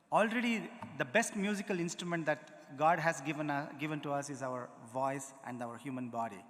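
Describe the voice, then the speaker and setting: A man with an Indian accent giving a lively lecture demonstration, quizzing the audience and sounding out syllables. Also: high voice. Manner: demonstrative, engages the audience with questions and spoken syllables. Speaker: music lecturer. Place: large auditorium. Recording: hall reverb.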